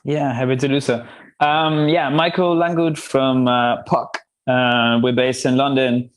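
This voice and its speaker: A man's voice: mid-range voice, fairly even intonation, measured pace, no room sound. Male podcast guest, dry recording. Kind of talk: casual company introduction.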